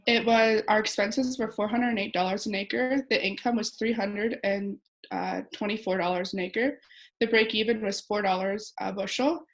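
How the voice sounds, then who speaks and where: A young woman with a Western Canadian accent gives an informal student presentation. Her voice sits at a medium pitch as she reads through facts and figures. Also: steady tone, moderate pace, vocal fry, low-quality recording. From Alberta, Canada. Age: young adult.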